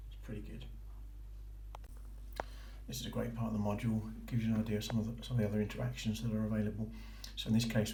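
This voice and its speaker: Low, even-toned man, roomy sound. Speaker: vlogger documenting a boating course. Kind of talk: casual explanatory walkthrough. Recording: roomy echo with faint noise.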